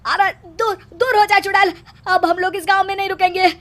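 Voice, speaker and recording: A woman's voice: extremely high pitch, steady tone, moderate pace, a bit bright, voice pushed up. A woman voicing a village holy man in a cartoon story, ominous and accusing in a dramatic way. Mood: alarmed, accusing.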